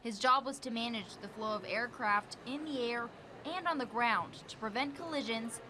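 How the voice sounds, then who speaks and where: An American woman reports the news in a fairly high voice. Her delivery is that of a scripted local TV report, presented live from the field. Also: moderate pace, sharp tone, slight background noise. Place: on location at an airport for live segments.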